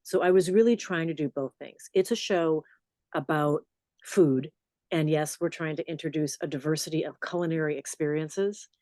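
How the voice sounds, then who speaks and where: A middle-aged woman journalist with a low voice, answering interview questions in a storytelling manner with animated asides. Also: moderate pace, no room sound. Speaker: journalist and podcast host.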